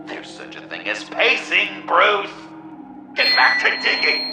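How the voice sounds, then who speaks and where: An actor playing a sadistic, theatrical game villain who mocks grandly, moving between whispers and shouts. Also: male voice, sits high, huge pitch swings, a little slow, echoey room, distant mic, music in the background, exaggerated voice. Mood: impatient. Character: theatrical, sadistic male villain staging deadly games. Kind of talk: video game villain acting.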